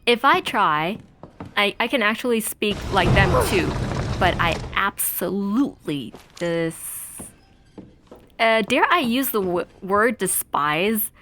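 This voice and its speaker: Slow, rambling woman. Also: medium pitch, lively intonation, noisy background. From Taiwan. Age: 20s. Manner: rambling, chatty storytelling with many pauses. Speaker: let's play gamer. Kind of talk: casual chat while playing a game.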